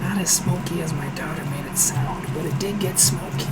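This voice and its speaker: A hoarse man hosting a casual cooking livestream from his home kitchen, chatty and self-deprecating, answering viewers aloud as he works. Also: fairly high pitch, moderate pace, over loud music. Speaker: streaming cooking show host.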